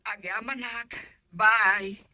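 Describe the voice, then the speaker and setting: A woman's voice: medium pitch, fairly even intonation, extremely slow, pushed and bright, no room sound, exaggerated voice. A woman with an Ilocano accent playing an anxious middle-aged mother who frets aloud about her missing son in a radio drama. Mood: pleading. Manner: fretful, talking to herself in worry.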